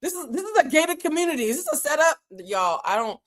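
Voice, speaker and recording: A woman whose first language is French tells a casual story to her viewers. She is animated and profane, and she talks straight to her audience. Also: high-pitched, fast. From Boston. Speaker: channel host.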